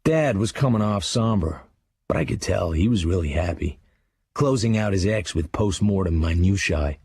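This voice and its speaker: An actor playing a hard-edged crime writer narrating his dark memoir in a sardonic, clipped manner for a radio drama. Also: low-pitched, lively intonation, moderate pace, slightly rough, no room sound, heavily acted. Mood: sardonic, cool.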